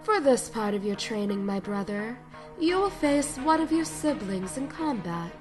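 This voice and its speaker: A woman's voice: on the high side, expressive, measured pace. Actress as icy female military commander, controlled and authoritative, sci-fi drama, prominent music underneath. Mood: controlled, menacing. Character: cold, commanding female military commander, manipulative sister figure. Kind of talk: dramatic sci-fi audio acting. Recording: loud music bed over the voice.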